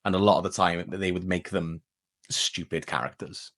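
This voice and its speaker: A man's voice: sits low, normal speed. A young Welsh man with a Welsh accent, a voice actor chatting as an interview guest and acting out quoted exchanges. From Wales. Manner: animated, acts out quoted exchanges with a shifting voice. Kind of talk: casual interview chat.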